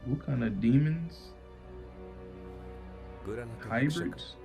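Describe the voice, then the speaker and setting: A man's voice: mid-range voice, lively intonation, a little slow, vocal fry, muffled recording, faint music behind. A man giving slangy, laid-back commentary in a casual reaction chat. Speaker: anime reaction host.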